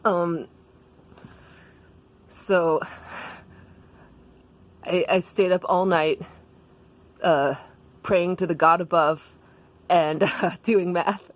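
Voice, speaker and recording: A woman's voice: fairly low pitch, steady tone, drawn-out words, long pauses. A woman playing a laid-back, blunt schoolgirl slacker in a comic story, slangy and casual, with a drawling delivery. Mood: confiding, candid.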